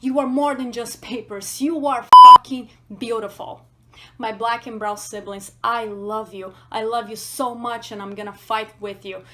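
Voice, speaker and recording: A woman's voice: on the high side, steady tone, moderate pace, few pauses, background noise. A woman delivering an emotional spoken-word address to her listeners.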